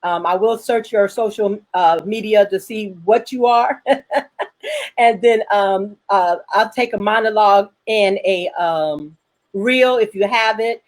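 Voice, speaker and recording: A woman's voice: mid-range voice, unhurried. Frank, jokey woman hosting a chat. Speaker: host, filmmaker. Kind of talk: casual panel chat.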